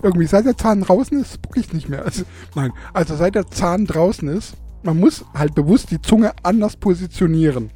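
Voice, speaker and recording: A man's voice: high voice, expressive, a little fast, hardly any pauses, dark tone, faint music behind. A young man hosting his own podcast in casual chat. He lisps through a gap in his teeth, laughs often and mocks himself. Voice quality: lisp from a tooth gap. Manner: chatty, laughs often, self-mocking. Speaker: podcast host. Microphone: studio microphone.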